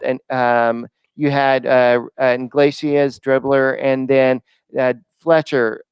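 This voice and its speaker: A man's voice: medium pitch, clean tone, no echo. A man co-hosting a casual sports podcast, speaking hesitantly with frequent fillers. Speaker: podcast co-host.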